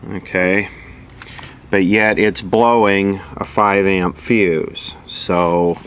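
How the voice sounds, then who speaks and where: Low, bright-voiced man explaining a repair. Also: fairly even intonation, very slow, slight background noise. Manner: matter-of-fact, thinking aloud in pieces. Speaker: electronics repair instructor. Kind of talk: informal hands-on repair tutorial.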